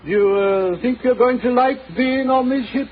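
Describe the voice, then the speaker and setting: Shifty gentleman suspect, high voice, defensive, hesitant, muffled vintage radio. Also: moderate pace. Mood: insinuating. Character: evasive gentleman suspect, eager to shift blame. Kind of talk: 1940s radio detective drama acting. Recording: muffled, noisy old radio recording.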